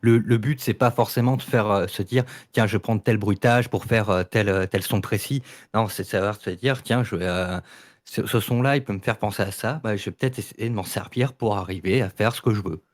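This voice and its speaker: A man's voice: lowish voice, fairly quick. A man in a casual discussion, explaining his point insistently and repeating his phrases. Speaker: debate participant.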